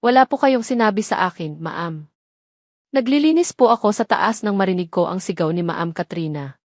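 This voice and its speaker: A woman's voice: mid-range voice, lively intonation, moderate pace, darkish tone, no echo, clean studio sound, close to an everyday voice. A woman voicing a fearful housemaid who defends herself and accuses another in an audio drama. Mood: defensive. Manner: defensive, deferential. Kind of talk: Tagalog audio drama acting.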